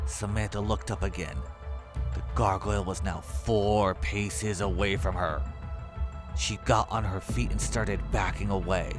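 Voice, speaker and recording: A man narrating a scripted horror story as its storyteller. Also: low voice. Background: music.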